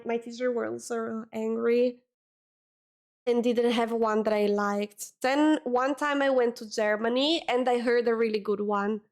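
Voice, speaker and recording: A woman streamer, chatting casually with her viewers, often pausing and correcting herself as she hunts for words. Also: fairly high pitch, darkish tone. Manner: hesitant, searching for words, self-correcting. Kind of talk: casual chat with chat readers.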